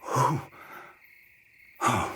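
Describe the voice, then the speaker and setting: A man voicing a kindly, scholarly older wizard professor in a video game, earnest, thoughtful and gently formal. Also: extremely slow, slight background noise. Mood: awed, concerned. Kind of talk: cinematic video game acting. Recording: music under the voice.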